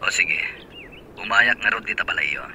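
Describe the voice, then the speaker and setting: A man's voice: mid-range voice, monotone, normal speed, pushed and bright, in a whisper. An actor playing an obliging male friend in an Ilocano radio drama, questioning and agreeable.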